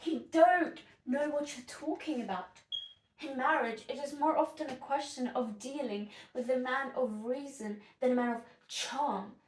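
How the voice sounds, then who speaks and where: A woman's voice: sits high, unhurried, a little hiss or hum. A woman playing an opinionated, indignant young woman in a classical stage monologue, dramatic, emphatic and sardonic. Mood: firm, wary. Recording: echoey room, distant microphone.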